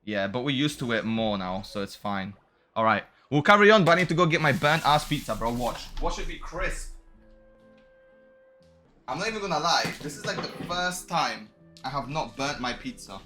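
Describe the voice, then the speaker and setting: A young man commentating on a video game playthrough. His voice is medium in pitch and very bright, with slangy, animated reactions and a casual read of the dialogue. Soft game music plays underneath. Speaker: game streamer.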